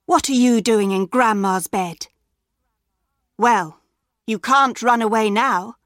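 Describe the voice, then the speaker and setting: A woman's voice: fairly high pitch, slow, fairly bright tone, dry recording, studio quality. A woman voicing a brave village father in a firm, matter-of-fact way.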